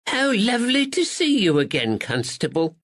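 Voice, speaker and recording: High, fluttery older lady in a storybook, gushing and eager, voiced by a man. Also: huge pitch swings, moderate pace, a bit bright, exaggerated voice. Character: timid, kindly older spinster woman.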